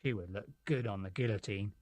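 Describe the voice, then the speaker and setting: A woman's voice: extremely low pitch, brisk, darkish tone, no echo, voice pushed down. A woman voicing a solemn, bloodthirsty male revolutionary who relishes cruelty. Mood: gloating.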